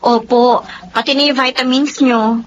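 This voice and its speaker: A woman's voice: fairly high pitch, steady tone, a little slow, lightly acted. A woman playing a shy, modest, dutiful young woman in a Filipino radio drama, with a Filipino accent. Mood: everyday, mildly exasperated. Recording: dull, slightly noisy lo-fi recording.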